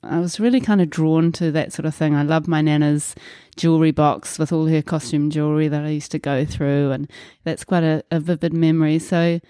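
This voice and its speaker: Woman, New Zealand accent, forties, mellow low voice, reflective casual chat. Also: steady tone, a little fast, few pauses, clean tone, dry recording, studio quality. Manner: friendly, reflective, many fillers. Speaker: podcast host being interviewed. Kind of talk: casual conversational interview.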